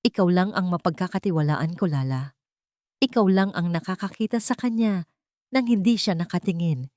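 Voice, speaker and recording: A woman's voice: lowish voice, normal speed, darkish tone, no echo, clean studio sound, lightly acted. A woman voicing a frail dying old matriarch. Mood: pleading. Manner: faint breathy whisper. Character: frail dying elderly matriarch, tender and pleading.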